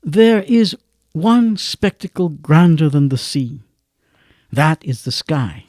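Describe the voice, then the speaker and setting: An actor playing a grave storyteller, narrating a radio drama in measured, declamatory phrases. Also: male voice, a little high, animated, a little mellow, no room sound, clean studio sound. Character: grave literary storyteller narrating a moral tale.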